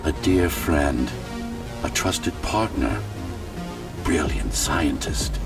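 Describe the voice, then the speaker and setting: Digital consciousness, very deep voice, calm, commanding, condescending, video game, distant, clear music behind. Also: male voice, exaggerated voice. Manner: measured, condescending, authoritative. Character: digital consciousness of a tower's architect, calm commanding older man. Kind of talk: scripted video game voice acting. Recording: distant voice under loud electronic music.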